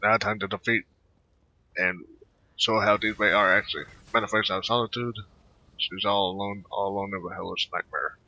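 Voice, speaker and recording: A man giving casual, halting gameplay commentary, muttering asides and reading out dialogue lines. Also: lowish voice, fairly even intonation, bright, forceful tone. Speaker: gaming video host. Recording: dull lo-fi mic.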